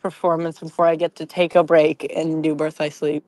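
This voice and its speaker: Casual female podcaster, slightly low voice, close dry sound. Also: flat delivery, normal speed, a little mellow, no room sound. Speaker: podcast member. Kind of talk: casual group chat.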